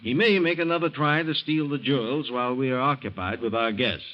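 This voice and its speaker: A man's voice: mid-range voice, fairly quick, lightly acted. An actor playing a wealthy, trusting old father who fusses over his guests, in 1940s radio acting. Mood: fussing. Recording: muffled 1940s radio broadcast.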